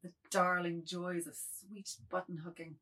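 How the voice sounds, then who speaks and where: Low, husky woman as a meek aging man. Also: little pitch movement, a little slow, bright, forceful tone. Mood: dreamy longing. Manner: florid, meek, rambling. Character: submissive, wordy, fretful aging man. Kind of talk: dramatised literary reading.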